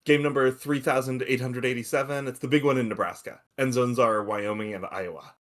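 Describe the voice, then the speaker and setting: A man's voice: mid-range voice, fairly even intonation, a little fast, natural voice. A man playing an irreverent slacker space probe in a podcast table read, casual, flippant and rambling. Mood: casual, eager.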